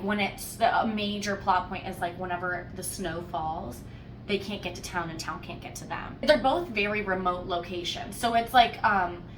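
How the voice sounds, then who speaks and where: A woman chats through a casual book review with playful, wry emphasis. Her voice sits at an average pitch and is animated in its storytelling. The recording is echoey and a little distant, with faint noise behind her. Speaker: host of a book discussion podcast. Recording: roomy echo, distant mic, faint noise.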